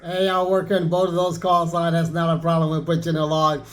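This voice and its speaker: A man's voice: a little high, little pitch movement, brisk. A man working as an amateur radio operator, chatting in a casual, easygoing way. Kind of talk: casual radio contact chat.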